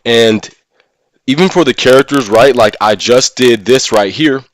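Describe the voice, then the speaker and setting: A man's voice: mid-range voice, unhurried, dry recording. A man who streams games, talking casually and giving his opinions as he thinks aloud. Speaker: live streamer and gaming content creator. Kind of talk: casual livestream discussion of a mobile game.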